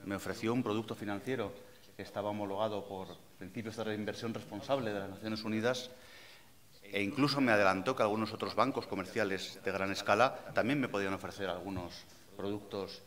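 Low-voiced man moderating a panel. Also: fairly bright tone. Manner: formal, measured. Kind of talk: moderating a seminar.

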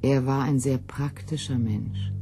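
Mellow, sober voice recalling the past. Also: mid-range voice, steady tone, measured pace, faint music behind. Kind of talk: reflective personal reminiscence.

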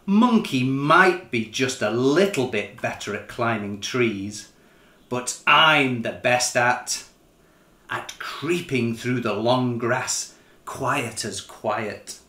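A man's voice: medium pitch, slow, sharp tone, reverberant. A man voicing a proud, boastful, easily annoyed lion in a children's storybook, with a pompous, blustering manner. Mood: grumpy, blustering.

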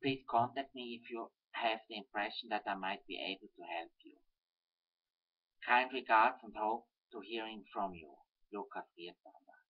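Young Swiss German-accented man, lowish voice, stilted earnest read, muffled webcam sound. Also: normal speed, husky. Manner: earnest, careful, slightly stilted reading. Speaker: webcam video message sender. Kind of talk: reading a letter aloud. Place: small room at home, indoors. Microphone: webcam built-in mic. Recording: dull lo-fi webcam audio.

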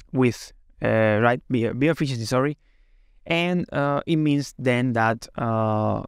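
A man presents a product webinar in a medium-pitched voice. He explains step by step and often tags his sentences with a checking question. The sound is dry and close to the mic. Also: no echo. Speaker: company presenter.

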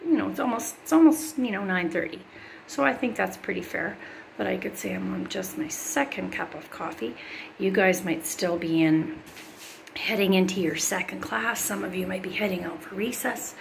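A middle-aged woman talks in a chatty, upbeat way straight to her viewers, a teacher giving her students a tour of her home. Her mid-pitched voice has a gravelly fry to it. It is recorded on a handheld phone in small rooms, with faint household noise behind her. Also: normal speed, low-quality recording. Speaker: music teacher. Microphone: phone camera held by hand.

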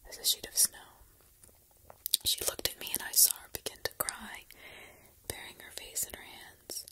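A woman narrating a horror story entirely in a steady, hushed whisper. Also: a little high.

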